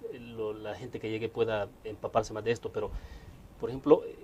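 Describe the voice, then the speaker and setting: Guy doing interviews, talks quick and kinda low, wordy, noisy background. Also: level tone, dark tone, highs cut off. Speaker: interview host. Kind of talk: conversational broadcast interviewing.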